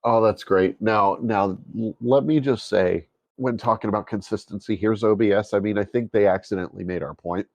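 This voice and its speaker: A man with a bassy voice co-hosting a casual tech podcast, amused and quick to laugh. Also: steady tone, a little mellow, no room sound, dull audio. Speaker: podcast co-host.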